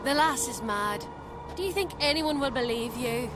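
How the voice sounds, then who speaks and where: A woman's voice: high-pitched, expressive, normal speed, over loud music. An actress playing a haughty, scheming middle-aged noblewoman in a fantasy game, speaking with imperious scorn. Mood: scornful, indignant. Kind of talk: dramatic fantasy game acting. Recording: dramatic score behind dialogue.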